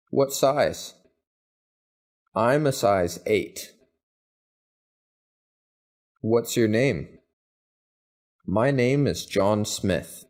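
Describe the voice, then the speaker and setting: A man reading English practice questions and answers, each said twice in an even, deliberate way. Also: fairly low pitch. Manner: even, deliberate, carefully spaced delivery. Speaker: English teacher. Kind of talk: slow scripted phrase drills, each said twice.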